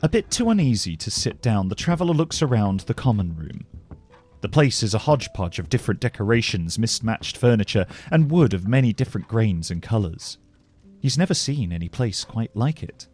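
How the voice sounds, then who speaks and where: A man narrating a scripted fantasy story as a measured, descriptive storyteller. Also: fairly low pitch, sing-song, darkish tone.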